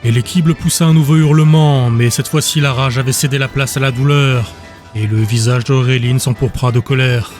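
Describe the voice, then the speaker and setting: A man narrating a dark fantasy audiobook in a steady, measured storytelling voice. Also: medium pitch, faint music behind. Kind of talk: scripted narration.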